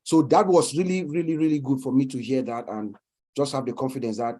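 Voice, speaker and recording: A man's voice: mid-range voice, steady tone, brisk, dark tone. A man from Cameroon, a panel guest, speaking hesitantly with many fillers and repeated words. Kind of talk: casual panel chat.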